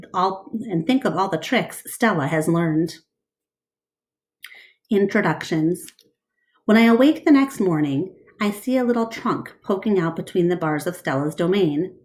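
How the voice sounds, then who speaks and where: A woman reading a children's book aloud in an expressive, deliberate storytelling style. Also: medium pitch.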